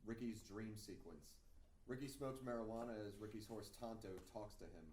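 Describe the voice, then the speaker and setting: A man's voice: fairly low pitch, normal speed, a little mellow. Man reading stage directions, echoey room. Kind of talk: scripted narration at a table read. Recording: echoey room, distant mic, faint noise.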